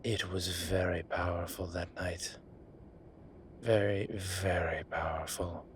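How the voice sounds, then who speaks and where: A man playing a polite, flirtatious incubus demon in an intimate roleplay audio drama, with hesitant, formal phrasing and a softness that turns breathy at times. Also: sits low, level tone, slow pace, slight background noise. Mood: sheepish, apologetic. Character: courteous, flirtatious male incubus demon, restrained and apologetic.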